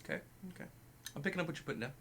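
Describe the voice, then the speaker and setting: Lively, bright-voiced joking man. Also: on the high side, very fast, a little hiss or hum. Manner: playful, joking. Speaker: co-host reacting to music. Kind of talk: casual chat.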